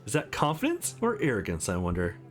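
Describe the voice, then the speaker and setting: Man reading as confident female boss in a visual novel: sardonic, teasing, probing, very lively pitch, faint music. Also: a little fast.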